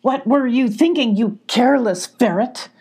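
A woman's voice: fairly high pitch, normal speed, warm tone, breathy edge, voice pushed up. A woman voicing an angry, bullying male badger guard in a storybook, scolding and hectoring. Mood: angry, berating.

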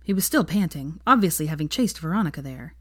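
A woman's voice: deep voice, animated, fast, dry recording, studio quality. A woman reading scripted fiction aloud as a story narrator.

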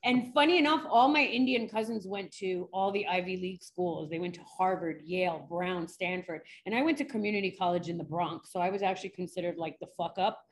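A woman's voice: lowish voice, a little fast, hardly any pauses, low-quality recording. A woman from New York, a forensic psychologist chatting candidly in an interview, laughing often. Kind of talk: casual interview chat.

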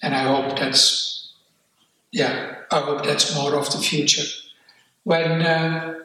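An older man from the Netherlands with a Dutch accent, speaking candidly and haltingly about his life, repeating words as he goes. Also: a little high, flat delivery, pushed and bright, recorded from a distance. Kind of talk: personal reflective testimony. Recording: echoey room, off-mic.

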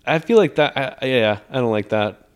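A man's voice: mid-range voice, animated, brisk, clean tone. A man chatting casually as a podcast co-host, with a joking, playful way of talking. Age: adult parent of a school-age child. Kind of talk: casual chat.

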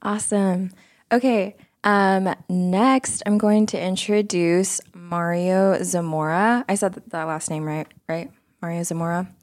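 A woman's voice: fairly low pitch, steady tone, measured pace, dry recording, clean studio sound. A woman hosting a podcast panel in a conversational style. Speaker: podcast host.

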